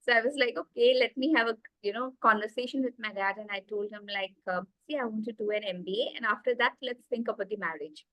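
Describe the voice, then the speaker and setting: A woman's voice: on the high side, fast talker, hardly any pauses, fairly bright tone, dry recording, low-quality recording. A woman in her thirties with an Indian accent, a guest telling her life story eagerly over a video call, with many fillers. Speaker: guest, executive coach. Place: small room indoors.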